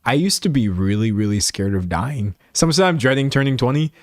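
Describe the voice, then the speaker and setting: Late 20s guy streamer just rambling to chat, animated, pokes fun at himself, medium pitch, dry close sound. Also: brisk, dry recording. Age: late twenties. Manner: animated, self-mocking, acts out imagined remarks. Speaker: livestream host. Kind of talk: casual chat, rambling monologue to viewers.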